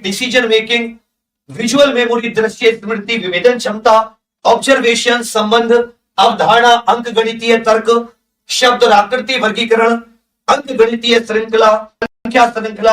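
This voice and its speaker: A man's voice: extremely high pitch, a bit bright. A man teaching an exam class, reading out syllabus items one by one with short comments and English technical terms mixed in. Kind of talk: lesson, reading through a syllabus list with brief comments. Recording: echoey room, distant microphone.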